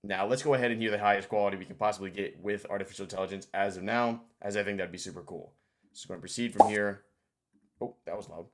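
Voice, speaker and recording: A man's voice: fairly low pitch, quick pace, a little hiss or hum. A man hosting a casual screen-share tutorial, informal and thinking aloud as he goes. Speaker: tutorial host.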